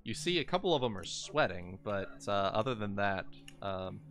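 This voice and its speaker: A man improvising narration as game master of a tabletop fantasy game, halting and repeating his phrases. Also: lowish voice, very animated, fairly bright tone. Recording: background music behind voice. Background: music.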